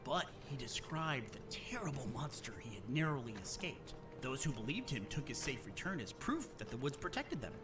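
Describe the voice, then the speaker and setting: A male actor voices a gentle father in an animated series, telling a fairy tale. His delivery is measured and dramatic, with lively pitch movement. Music plays clearly behind him. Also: natural voice. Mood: measured storytelling. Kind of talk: scripted storybook narration in a cartoon.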